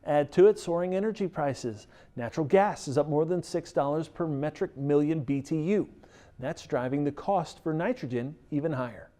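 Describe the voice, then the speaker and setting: A man's voice: on the high side, moderate pace, warm tone. An American man reading a scripted agricultural news bulletin as the news presenter. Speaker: news reader.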